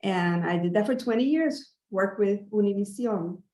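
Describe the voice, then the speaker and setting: A woman from Cuba, once a TV news correspondent, introduces herself in a measured, polished way. Her voice has an ordinary middle pitch, and the room around her rings with a noticeable echo. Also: a little mellow. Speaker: former television news correspondent. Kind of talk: casual self-introduction. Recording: echoey room.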